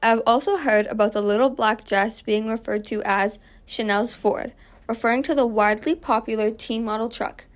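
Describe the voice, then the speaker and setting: A woman's voice: fairly high pitch, steady tone, moderate pace, lightly acted. A woman playing an earnest suffragist guest on a 1920s radio show, explaining things from a script. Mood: earnest, pleased. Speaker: guest activist.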